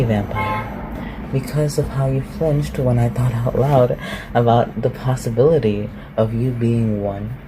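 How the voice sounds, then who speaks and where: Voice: mid-range voice, background noise, natural voice. A performer in an ASMR roleplay voicing an ancient, reclusive shapeshifter, haughty and awkward, with formal, archaic phrasing. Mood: aloof, musing. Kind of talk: ASMR roleplay, scripted one-sided dialogue.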